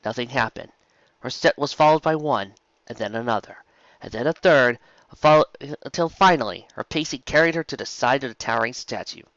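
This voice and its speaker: A man's voice: medium pitch, a little fast, a bit bright, no echo, highs cut off. A man narrating a fantasy story as an amateur audiobook reader.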